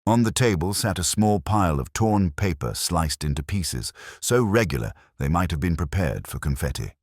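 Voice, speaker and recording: A man reading scripted detective-story narration in a husky voice. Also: low-pitched, expressive, normal speed, dry recording, clean studio sound.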